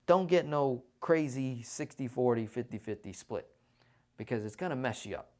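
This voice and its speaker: A man with a medium-pitched voice hosts a casual online Q&A. He talks in a conversational, persuasive way. He often tags his points with short check-in questions to the listener. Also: expressive, moderate pace, a little mellow. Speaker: financial Q&A host. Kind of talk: casual livestream Q&A on finance.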